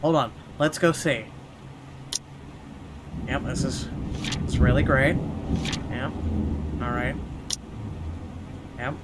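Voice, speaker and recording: A man's voice: medium pitch, slow, pauses often. Theatrical man narrating over noisy game ambience. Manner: theatrical reading, sarcastic asides. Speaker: let's player. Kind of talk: reading game text aloud with joking asides.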